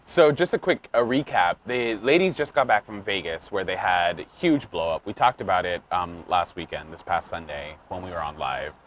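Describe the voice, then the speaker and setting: A man's voice: low-pitched, moderate pace, few pauses, slight background noise. A man co-hosting a casual reality TV recap show, talking with frequent ums and restarts. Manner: animated, hesitant with many ums and restarts. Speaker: podcast co-host.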